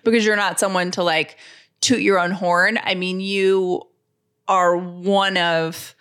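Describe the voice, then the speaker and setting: A woman's voice: fairly low pitch, flat delivery, slow, fairly bright tone, clean tone. A woman co-hosting a podcast, talking in a casual, conversational way. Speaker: podcast co-host.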